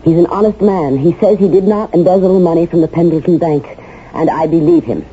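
A woman's voice: lowish voice, a little fast, warm tone, breathy edge. A woman acting in a 1970s radio drama as a middle-aged, steadfast, weary mother, speaking with an American accent in a restrained, earnest way. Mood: firm, earnest. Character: steadfast, weary mother loyal to imprisoned husband. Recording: muffled 1970s radio recording.

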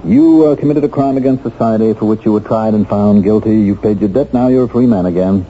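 A man's voice: on the low side, a little fast, a little hiss or hum, natural voice. An American actor playing a bitter, determined middle-aged man just freed from prison, speaking earnestly and emphatically in 1970s radio drama style. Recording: dull vintage radio recording with music.

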